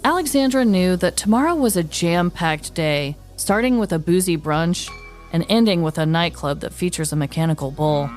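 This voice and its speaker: A woman's voice: on the low side, animated, moderate pace, a little mellow, soft background music. A woman podcast host narrating a scripted comedic story.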